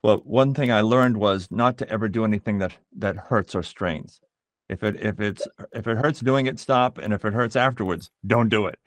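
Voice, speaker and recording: A middle-aged man being interviewed as a voice actor, explaining things in a measured, reflective way and pausing to find his words. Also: lowish voice, dry recording.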